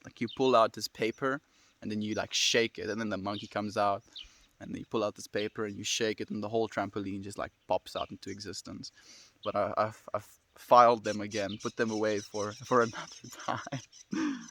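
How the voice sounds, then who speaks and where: A man in his twenties with a South African accent, chatting casually and fast with viewers on a livestream. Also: on the low side, little pitch movement, bright, forceful tone. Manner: fast-talking, friendly, reads and answers comments.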